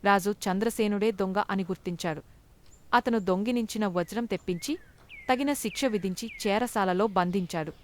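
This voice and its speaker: A woman's voice: medium pitch, normal speed, a little hiss or hum. A woman narrating a scripted moral story for children as a storyteller.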